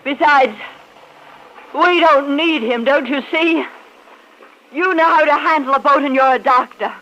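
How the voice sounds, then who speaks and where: An actress playing a greedy, cold-blooded young schemer in a mid-century radio drama, with a sharp, scornful manner. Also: female voice, high-pitched, unhurried. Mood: coaxing, scheming. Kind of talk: 1940s-50s radio acting. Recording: muffled, noisy old radio recording.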